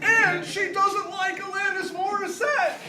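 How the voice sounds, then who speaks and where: Booming, shrill, cheerful police sergeant. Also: male voice, fairly even intonation, unhurried. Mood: loud, earnest. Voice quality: booming, ear-splitting delivery. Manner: exclamatory, over-eager. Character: loud, cheerful, oblivious male police sergeant. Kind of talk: comic noir radio play acting. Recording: roomy, distant, noisy stage recording.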